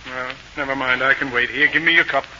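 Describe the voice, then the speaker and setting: A man's voice: mid-range voice, steady tone, fast talker, pushed and bright. A man acting a pompous, wordy middle-aged father with a dry, sardonic manner, in 1940s radio style. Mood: dryly sardonic. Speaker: radio drama actor. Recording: dull, faintly noisy old radio recording.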